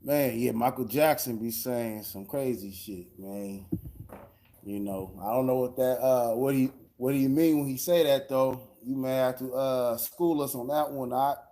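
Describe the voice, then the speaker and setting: A man's voice: mid-range voice, measured pace, warm tone. A man hosting a livestream, chatting loosely between songs with plenty of "you know" fillers. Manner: loose, laid-back talk with many fillers. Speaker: livestream host. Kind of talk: casual chat between songs.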